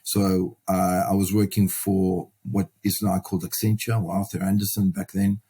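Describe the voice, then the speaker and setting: Low, even-toned man in a conversational interview. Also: normal speed, slightly rough. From Sudan and South Africa. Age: middle-aged. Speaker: podcast guest, property investor and mentor.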